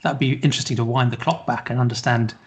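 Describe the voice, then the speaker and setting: Measured male podcast host. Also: mid-range voice, fairly even intonation. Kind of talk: interview questions.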